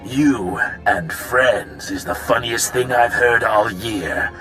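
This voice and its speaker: An actor playing a burly hired thug in a video game, mocking and menacing. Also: male voice, medium pitch, animated, slow pace, a bit bright, faint music behind. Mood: mocking, greedy. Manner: taunting, menacing. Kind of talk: video game villain acting.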